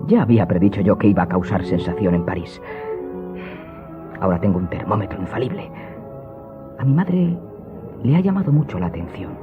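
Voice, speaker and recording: An excitable young gentleman, musing aloud in a radio drama. His low voice moves in wide, lively arcs. The sound is muffled, with music clearly behind it. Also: fairly quick, long pauses. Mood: amused admiration.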